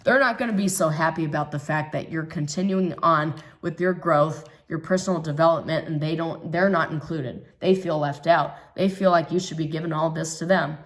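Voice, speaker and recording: A woman with a hoarse voice, recovering from an illness, giving a casual tarot reading, musing and hesitant with frequent pauses and fillers, turning emphatic at times. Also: low voice. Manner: musing, hesitant with many ums, emphatic about others' jealousy. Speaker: tarot reader.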